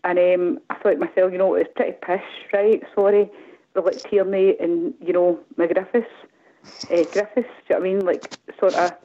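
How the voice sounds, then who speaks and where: A middle-aged woman with a Glaswegian accent calling in, rambling and animated, often asking "do you know what I mean". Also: lowish voice, moderate pace. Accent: Glaswegian Scottish. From Glasgow, Scotland. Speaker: caller. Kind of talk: casual phone-in chat. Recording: tinny phone line.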